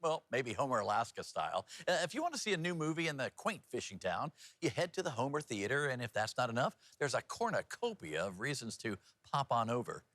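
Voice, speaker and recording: A male local news anchor with a bright, forward voice. His delivery swings up and down in a lively way as he narrates upbeat features with folksy, playful wordplay. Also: medium pitch, brisk, few pauses, no echo.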